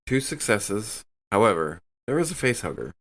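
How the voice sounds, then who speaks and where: Male tabletop player, lower register, casual game talk. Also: sing-song, moderate pace, a bit bright, slight background noise.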